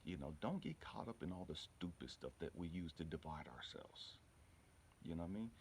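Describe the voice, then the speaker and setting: A man's voice: sits low, expressive, fairly quick, a little mellow, slight background noise. A man giving an informal motivational spiritual talk in an emphatic, repetitive, colloquial way, often checking in with the listener. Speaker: spiritual healer and channel host.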